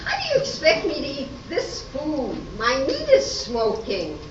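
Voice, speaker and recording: A woman playing a fussy, chatty, dramatic lady in an amateur radio comedy, theatrical and deliberate. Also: high voice, huge pitch swings, exaggerated voice. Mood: indignant. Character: dramatic, chatty women: fussy diner, gossipy witness. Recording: noisy echoey room, distant muffled mic.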